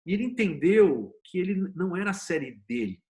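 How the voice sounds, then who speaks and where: A man's voice: sits high, very animated, a little fast, a little mellow. Brazilian man talking informally. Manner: informal, colloquial, opinionated. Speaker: interview guest, series producer and screenwriter. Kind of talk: conversational interview talk with anecdotes.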